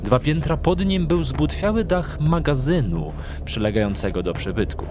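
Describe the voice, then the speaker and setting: A man narrating a scripted audio drama with measured storytelling delivery. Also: fairly high pitch, animated, background noise.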